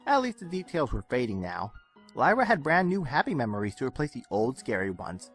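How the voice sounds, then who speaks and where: Lively male story narrator over music. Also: mid-range voice, fairly quick, breathy edge. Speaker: narrator of a fan fiction audio reading. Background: soft game music.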